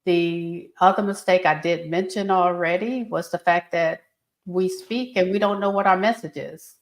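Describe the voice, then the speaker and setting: A woman's voice: lowish voice, fairly even intonation, moderate pace, reverberant. A woman speaking coach explaining her advice in an earnest, instructive way during an interview. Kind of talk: interview answers.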